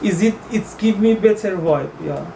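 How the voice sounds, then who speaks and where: An Iranian-accented man in his thirties talks as a guest in a relaxed interview. He pauses often, hunts for words and restarts his sentences. He sits a little back from the mic, with a faint hiss of noise behind him. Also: high voice, creaky, recorded from a distance, poor audio. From Iran. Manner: halting, searching for words with many fillers and restarts. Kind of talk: casual interview in English.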